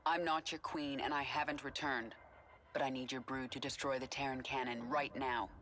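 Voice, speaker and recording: A woman's voice: a bass voice, fairly even intonation, normal speed. A woman playing a hardened, determined former alien queen in a video game, speaking in a terse, commanding way. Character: hardened, determined female former alien hive queen, now human again. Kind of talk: dramatic video game acting. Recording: game music behind the voice.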